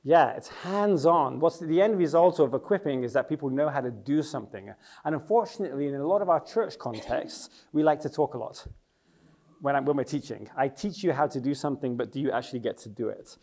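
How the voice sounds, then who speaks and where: A man's voice: medium pitch, lively intonation, brisk, a little mellow. A middle-aged Englishman leading a teaching session in a chatty, joking way, asking the audience questions. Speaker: youth worker and church leader.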